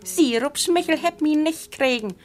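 Woman acting a proud chatty village widow, low german from the north, animated storytelling, feisty. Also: a little slow, faint music behind. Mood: defiant.

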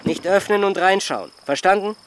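A man's voice: sits high, normal speed, noisy background, close to an everyday voice. An actor playing a brusque, commanding man in a wartime radio drama, terse and patronizing. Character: brusque man handing a girl grim orders.

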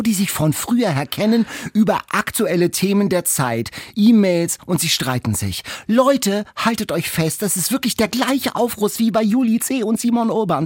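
A man's voice: high-pitched, slightly rough, no room sound, clean studio sound. A man hosting a book podcast, reviewing in a conversational way with emphatic, wry storytelling in short punchy phrases. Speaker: podcast host discussing books.

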